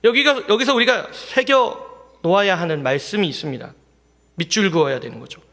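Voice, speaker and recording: A man preaching a Sunday sermon as pastor, in a lively manner, often asking the congregation questions. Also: sits high, normal speed. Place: church sanctuary. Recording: long hall reverb in a church.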